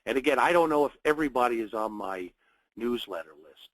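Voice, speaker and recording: A man's voice: medium pitch, animated, a little fast, a bit bright, dry recording, rough recording. A man with a cold, sounding a little nasal, hosting a live trading webinar and welcoming viewers in short phrases with plenty of fillers. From United States. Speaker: webinar host, trading educator.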